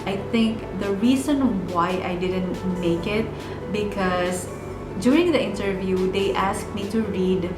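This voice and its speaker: A woman's voice: mid-range voice, takes it slowly. Filipino woman telling her story. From Philippines. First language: Bicolano. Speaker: vlogger. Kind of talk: personal storytelling. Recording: echoey room with music.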